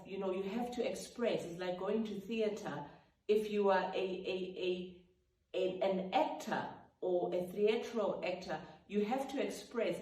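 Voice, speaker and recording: A woman's voice: mid-range voice, a little mellow. A woman, a gospel singer-songwriter telling the story behind her song in a reflective, casual way. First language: Sotho. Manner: reflective, breaks into sung snippets and vocal sounds. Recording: echoey room, mic at a distance.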